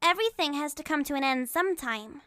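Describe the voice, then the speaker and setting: A man voicing a young boy, high-pitched, blunt and excitable, in a storybook reading. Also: fast, full character voice. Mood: indignant. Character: plucky boy, young, indignant.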